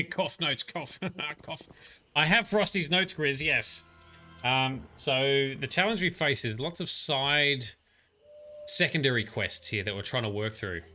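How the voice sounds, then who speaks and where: A man's voice: mid-range voice, pushed and bright. A man streaming a video game, chatting loosely with viewers, often correcting himself and chuckling. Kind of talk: live gameplay commentary.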